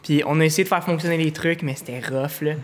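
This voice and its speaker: A man's voice: on the high side, quick pace. Laid-back young man with a raised voice. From Ontario, Canada. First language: English. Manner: relaxed, mixes English slang into French, chuckles often. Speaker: guest, music producer. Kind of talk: casual podcast chat.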